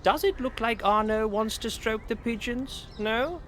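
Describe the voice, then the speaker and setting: A man's voice: high-pitched, a little slow, fairly bright tone, slight background noise. An actor playing a harried, kindly male schoolteacher herding children in a children's audio drama. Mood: flustered, scolding.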